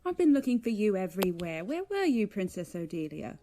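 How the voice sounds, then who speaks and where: Kindly maid for fantasy audio drama, mellow voice, motherly n folksy, a bit proper. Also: mid-range voice, sing-song, fairly quick, slight background noise. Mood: fussing.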